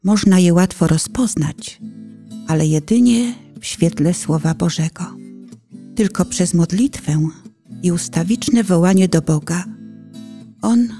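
Low, mellow woman narrating solemnly. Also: faint music behind. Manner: solemn, measured, deliberate phrasing. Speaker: author and narrator. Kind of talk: scripted narration of a personal testimony.

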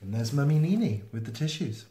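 A man reading a children's picture book aloud in an expressive, playful manner. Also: medium pitch. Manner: expressive, slow, with playful sound effects and asides. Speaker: storytime reader. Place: small indoor room, seen close to the camera. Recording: roomy, echoey home video sound.

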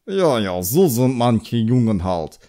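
A man's voice: mid-range voice, big pitch swings, takes it slowly, mellow. A man voicing an old magician with a heavily accented, pitying way of talking for an audiobook. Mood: doubtful, pitying. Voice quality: strongly accented speech. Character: old male magician, nosy, pitying, muttering.

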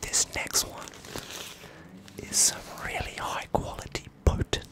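A young man whispers very close to the microphone in an ASMR roleplay as a shady, secretive dealer, breathy and furtive, often repeating words over and over. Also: a little slow. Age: young adult. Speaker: ASMR performer. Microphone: close studio microphone at the mouth.

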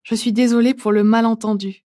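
A woman reading short phrases aloud for a language lesson, each said twice with careful, clear enunciation. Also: a little high, steady tone, a little fast, dark tone. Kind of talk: slow repeated phrase reading.

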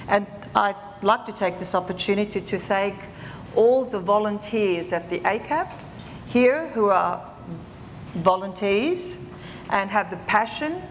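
An older woman, a panelist on a conference stage, talking warmly with many ums. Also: medium pitch, a little hiss or hum. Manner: talkative, warm, many ums. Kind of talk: panel talk. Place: conference stage in a large hall. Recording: reverberant hall recording.

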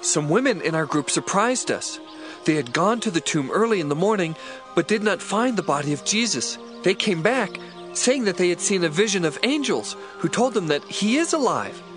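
Disciple: high-ish male voice, earnest and emphatic, scripture drama with prominent music. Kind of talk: audio Bible drama.

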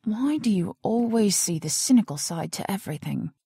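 A woman's voice: medium pitch, animated, normal speed, vocal fry, mellow, husky, dry recording, clean studio sound, exaggerated voice. An actress in an audio drama playing an indignant, strong-willed woman who works at a research facility.

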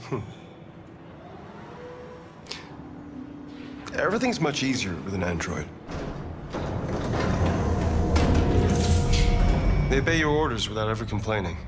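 A man playing a self-assured tech founder in his thirties in a game, measured and explanatory, recorded with ambient noise. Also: medium pitch, lightly acted. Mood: assured, dismissive. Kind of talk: scripted game interview. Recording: clear ambient noise behind voice.

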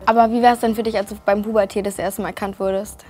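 A woman's voice: mid-range voice, little pitch movement, very fast, dark tone. A young actress chatting casually in an interview, earnest and feeling her way through her phrases. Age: teens or young adult. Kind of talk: casual on-camera chat. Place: small cosy indoor room.

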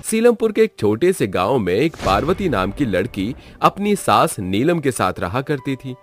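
A man's voice: mid-range voice, sing-song, moderate pace, faint music behind. A man giving scripted narration as the storyteller of a horror tale.

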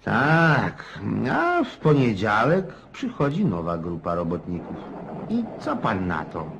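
Old cossack storyteller in a radio play, husky voice, slow and rambling but really swoopy. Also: male voice, fairly low pitch, huge pitch swings, muffled recording, background noise, full character voice. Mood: animated storytelling. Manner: rambling, folksy, prodding listener with questions. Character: garrulous old Cossack, cynical rustic storyteller.

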